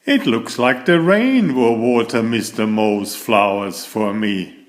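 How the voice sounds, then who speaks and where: Earnest young turtle, read by a man, unhurried. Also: medium pitch, lively intonation. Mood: thoughtful.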